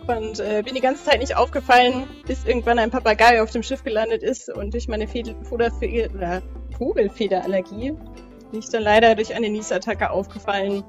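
A woman's voice: a little high, steady tone, a little fast, lo-fi sound. A young adult woman talking casually as a guest player in a role-playing game. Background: music.

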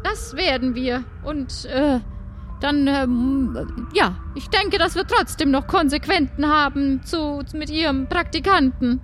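A woman voicing a polite but determined young pirate, speaking haltingly in an improvised audio drama. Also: high-pitched, a bit bright, soft background music. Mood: hesitant, determined.

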